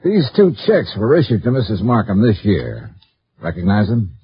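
An actor playing a brisk, wry male insurance investigator in a 1950s radio detective show, clipped and businesslike. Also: lowish voice, huge pitch swings, slight background noise. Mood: probing. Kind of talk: 1950s radio detective acting and first-person narration. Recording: muffled 1950s radio recording.

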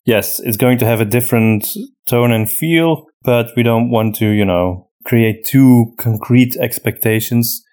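A man's voice: mid-range voice, a little slow, hardly any pauses, darkish tone. A man hosting a podcast in casual unscripted chat, thinking aloud hesitantly as he answers. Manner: hesitant, thinking aloud with frequent "you know". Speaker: podcast host and audio drama creator.

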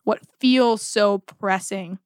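A woman with a mid-pitched voice speaks as a podcast co-host in a casual religious discussion. She is reflective and conversational, often saying "like" as she works through a thought. The recording is dry, with no room echo. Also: slow pace, darkish tone, clean tone.

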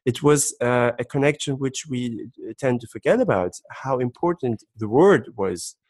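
A man talking as a guest on a podcast, explaining at length with many hesitant pauses and filler sounds. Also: medium pitch, expressive, darkish tone, dry recording. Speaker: guest harpist. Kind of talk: casual podcast conversation.

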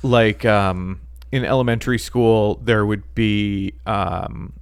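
A man co-hosting a podcast in casual chat, talking haltingly with many ums and restarts. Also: on the low side, fairly even intonation, vocal fry, clean tone, dry recording, clean studio sound. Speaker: podcast co-host.

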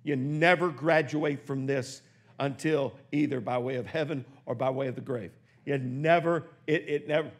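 A man in his sixties preaching a sermon in a church, swinging from hushed, quick asides to shouted exclamations. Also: medium pitch. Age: 60s. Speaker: pastor. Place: church sanctuary.

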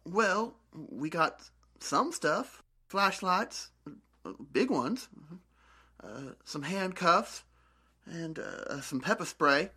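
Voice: medium pitch, expressive, extremely slow, sharp tone, husky, full character voice. A performer playing a hesitant, folksy male zombie survivor in an amateur audio drama, stammering and drawling, with faint noise behind.

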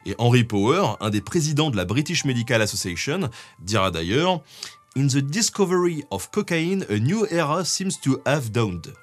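Lively Frenchman explaining history conversationally. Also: mid-range voice, normal speed. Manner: conversational, peppered with "hein" tags. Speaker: history show co-presenter. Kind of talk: semi-scripted explanatory narration.

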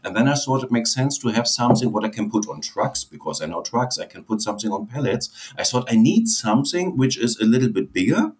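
A man's voice: medium pitch, lively intonation, fairly quick, few pauses, a little hiss or hum. A man from Germany with a German accent, whose first language is German, telling his story as a guest in earnest, plain speech that often repeats phrases. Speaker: guest, online seller. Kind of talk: casual interview answers.

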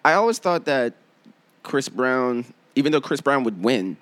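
A man's voice: mid-range voice, big pitch swings, a little slow, fairly bright tone, no room sound. A man with a plain mid voice, talking in a loose, rambling way with lots of fillers. The recording is dry, with no room sound. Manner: rambling, many fillers and laughs. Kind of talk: casual podcast chat.

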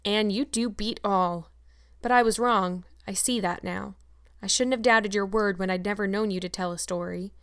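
A woman reading an audiobook voices a stern, sharp-tongued older farm woman who talks in a brisk, scolding, no-nonsense way. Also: medium pitch, fairly even intonation, clean tone, dry recording, studio quality, natural voice. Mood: relenting.

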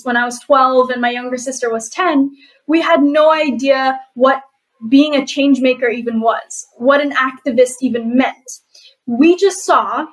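A woman's voice: high-pitched, low-quality recording. A young woman from Bali, an activist guest answering interview questions in an earnest, reflective way with frequent fillers. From Bali, Indonesia. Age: young adult.

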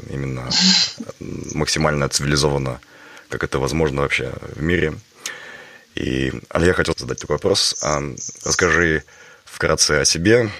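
A podcast host speaks in a measured, earnest way. His voice sits extremely low and rumbles with gravelly fry, and he is still getting over a cold. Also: fairly bright tone, no echo. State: recovering from a cold. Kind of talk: podcast intro and interview questions.

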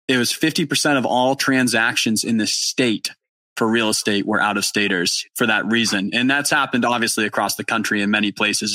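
A young man from New England, hosting a casual podcast interview, excitable and swearing freely. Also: fairly low pitch, dry recording. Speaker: podcast host. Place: barn studio in rural Maine.

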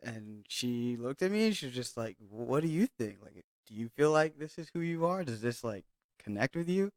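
A man's voice: medium pitch, very animated, normal speed, no room sound, studio quality. A young man from Hawaii, a guest telling his story reflectively in casual podcast chat, with many fillers.

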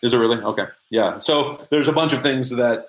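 A man's voice: mid-range voice, fairly quick, rough recording. A man speaking casually as an interview guest, explaining things with frequent restarts and doubled phrases. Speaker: interview guest, prop and audio drama maker.

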